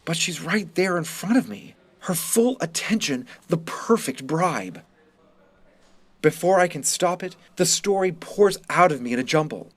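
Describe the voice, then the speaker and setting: A man reading a first-person story as a wounded, resentful high school student, in scripted narration. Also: animated, unhurried. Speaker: reader of a short story.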